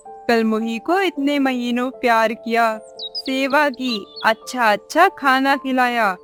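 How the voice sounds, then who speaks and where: Cartoon mother-in-law, older, harsh and scornful, acted by a woman; fairly high voice, unhurried scolding. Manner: scolding, contemptuous. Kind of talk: acted dialogue in Hindi moral-story cartoon.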